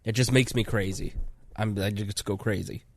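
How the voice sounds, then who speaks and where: A man's voice: lowish voice, fairly even intonation, normal speed. A man hosting a casual podcast, talking in a rambling, chatty way with his voice congested from a cold. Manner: rambling, self-interrupting, chatty. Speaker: podcast host.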